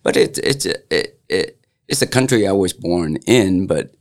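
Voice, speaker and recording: Gravelly older man, Vietnamese accent. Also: deep voice, animated. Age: 60s. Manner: halting, many fillers and restarts. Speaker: interviewee, local business owner. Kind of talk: casual oral-history interview.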